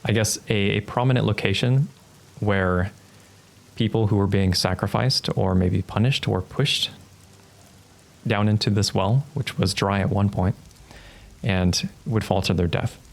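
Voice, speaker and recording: Low, gravelly man guiding a tabletop game. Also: a little slow. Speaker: game master. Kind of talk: narrating a tabletop roleplaying game, improvised.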